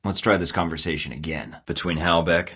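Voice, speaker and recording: A cocky young secret agent with a very low, deep voice, speaking in dry, clipped lines full of sarcasm. He sounds unbothered and sure of himself, as in a story-driven spy game. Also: fairly even intonation, fairly quick, pushed and bright, husky, exaggerated voice. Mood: cool. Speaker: game character.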